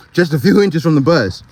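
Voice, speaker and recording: Casual man reading a story, very animated. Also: fairly high pitch, measured pace, breathy edge. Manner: informal, slangy, chatty. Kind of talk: reading a picture book aloud with casual asides.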